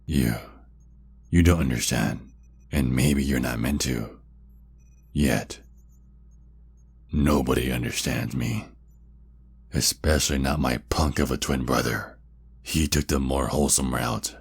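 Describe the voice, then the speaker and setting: A man playing a possessive, menacing vampire kidnapper in an ASMR roleplay, speaking intimately and deliberately. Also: rumbling low, fairly even intonation, full character voice. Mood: bitter, possessive. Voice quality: gravelly, husky, breathy. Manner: slow, intimate, deliberate, with drawn-out pauses.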